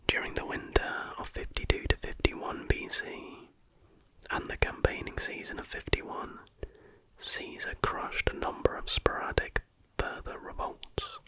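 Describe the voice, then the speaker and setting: A person reading a factual text aloud in an unvoiced, breathy whisper, in a careful, measured ASMR style. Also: a bit bright, no echo, low-quality recording. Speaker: reader.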